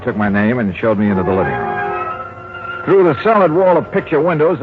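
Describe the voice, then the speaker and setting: An American actor playing a wry, hard-boiled insurance investigator in a radio drama, with dry, conversational delivery. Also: male voice, medium pitch, natural voice. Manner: dry, wry, conversational first-person narration and questioning. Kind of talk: 1950s American radio detective drama acting. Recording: muffled 1950s radio recording with music.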